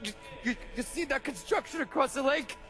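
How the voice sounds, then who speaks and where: A man's voice: very high-pitched, a little fast, pushed and bright, soft background music. An actor playing a terrified young mob henchman in a video game, stammering, swearing and pleading. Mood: cowed, desperate.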